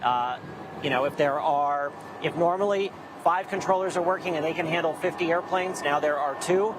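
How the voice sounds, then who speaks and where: A man's voice: fairly high pitch, normal speed, background noise. A man working as a news correspondent, explaining things conversationally in a live report from an airport.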